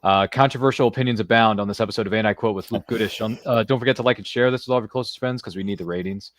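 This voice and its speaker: A man with a lowish voice hosts a casual live chat show at a rapid clip. His delivery is informal and full of slang, with a jokey streak. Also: dry recording, clean studio sound. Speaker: podcast host.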